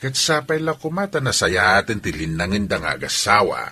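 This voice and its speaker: A man playing a friendly, concerned in-law with a raspy voice in a radio drama. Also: fairly low pitch, sing-song, normal speed, pushed and bright, exaggerated voice. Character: friendly, concerned in-law bringing vegetables to the family. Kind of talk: radio drama acting in dialogue.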